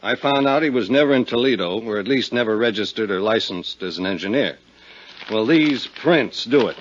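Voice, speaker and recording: An actor in a 1950s radio drama playing an investigator's frank, resigned colleague. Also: male voice, lowish voice, animated, normal speed. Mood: resigned, matter-of-fact. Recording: dull, hissy 1950s radio recording.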